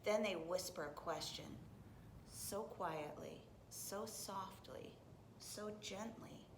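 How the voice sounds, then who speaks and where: A woman reading a children's story aloud expressively, with deliberate, dramatic phrasing. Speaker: storybook reader. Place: indoors, library. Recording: echoey room with faint rumbling noise.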